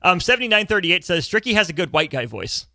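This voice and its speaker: A man's voice: high voice, sing-song, fast, bright, forceful tone, dry recording. A man with a polished radio voice hosting a conversational sports talk show.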